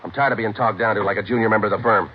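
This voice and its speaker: Actor as a low-voiced hard-boiled undercover cop. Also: male voice, steady tone, rapid-fire, very noisy, close to an everyday voice. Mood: tough, irritated. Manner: terse, hard-boiled, matter-of-fact. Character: undercover cop posing as a tough, impatient gangster. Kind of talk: 1950s radio crime drama acting and clipped narration. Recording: muffled old radio recording with music.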